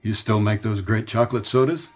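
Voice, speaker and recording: Man playing a low, wistful middle-aged businessman. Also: brisk, darkish tone, soft background music, lightly acted. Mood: nostalgic. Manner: wistful, musing. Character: weary, nostalgic middle-aged New York businessman. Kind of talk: radio drama acting.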